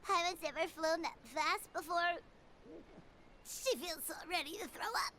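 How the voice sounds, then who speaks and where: A woman voicing a small, excitable, childlike fairy companion in a video game. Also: very high-pitched, moderate pace. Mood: queasy. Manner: talks about herself in third person.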